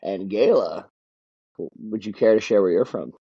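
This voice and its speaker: A man's voice: fairly low pitch, lively intonation, normal speed, warm tone, husky, no room sound. Male guest from Long Island, playful ribbing, muffled dry audio. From Long Island, New York. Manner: joking, teasing bandmates. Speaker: band member, guest. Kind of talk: casual interview chat.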